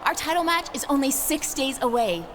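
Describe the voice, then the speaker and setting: A woman's voice: high-pitched, lively intonation, brisk. A woman playing a scheming, flirty wrestling diva with a fiery temper in a video game cutscene, coy and demanding. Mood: flirty, excited. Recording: noisy game cutscene audio.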